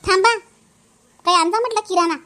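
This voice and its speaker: A woman's voice: extremely high pitch, fairly quick, sharp tone. A woman with a Varhadi Marathi accent playing an aggrieved, nagging young village wife who complains and laments in a cartoon comedy. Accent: Varhadi Marathi from Maharashtra. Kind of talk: hobby cartoon comedy dialogue.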